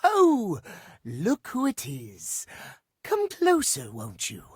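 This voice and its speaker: A man playing a tightly wound, fastidious gentleman in a video game, with formal, earnest, theatrical phrasing. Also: sits high, wildly swooping pitch, husky, no room sound, studio quality, exaggerated voice. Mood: courtly, inviting. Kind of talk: video game character acting.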